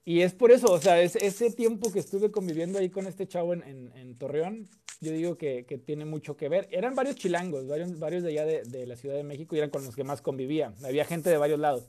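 A man from Mexico with a Mexican accent, a guest telling relaxed, chatty stories with many fillers and repeated words. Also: fairly high pitch, fast. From Mexico (Coahuila). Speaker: guest, content creator. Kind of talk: casual storytelling chat.

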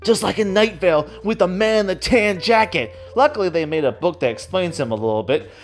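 An excitable, giggly speaker rambling casually about a favourite hobby, often repeating and stammering over words. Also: mid-range voice, fairly quick, soft background music. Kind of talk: casual rambling monologue about audio dramas.

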